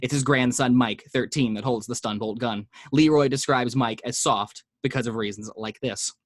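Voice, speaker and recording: A man reads a screenplay's action lines at home with vivid, measured delivery. His voice sits in a middle range and sounds dry and close, with no room echo. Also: medium pitch. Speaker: narrator reading action lines. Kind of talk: screenplay reading.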